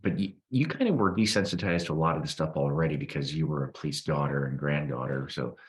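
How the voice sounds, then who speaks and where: Very deep-voiced man hosting a podcast. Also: fast talker. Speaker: podcast host, police officer. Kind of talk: reflective conversational interview.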